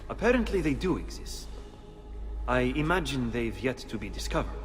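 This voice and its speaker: An actor playing a thoughtful, learned Renaissance conspirator in a video game, musing in a measured manner. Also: male voice, mid-range voice, very animated, close to an everyday voice. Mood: intrigued. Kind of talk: dramatic video game acting. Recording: long reverb, music behind.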